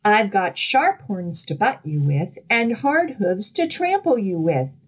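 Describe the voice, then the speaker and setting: A woman voicing the biggest billy goat in a storybook, bold and defiant, shouting out challenges. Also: medium pitch, big pitch swings, takes it slowly, husky, exaggerated voice.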